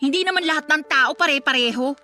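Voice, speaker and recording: A woman's voice: high-pitched, fast, pushed and bright, faint music behind. An actress playing a combative, complaining young girlfriend in a radio drama. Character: sharp-tongued young woman, girlfriend.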